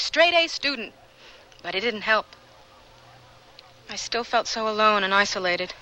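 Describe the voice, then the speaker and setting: A woman's voice: a little high, bright, forceful tone, poor audio. An actress playing an earnest, troubled young woman in a radio drama, with an emotional delivery.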